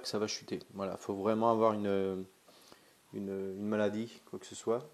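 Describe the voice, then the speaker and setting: Low-voiced hesitant man, a bit stuffy. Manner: hesitant, many fillers and restarts, relaxed and chatty. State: has a cold. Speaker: pendulum dowsing vlogger. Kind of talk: casual demonstration vlog.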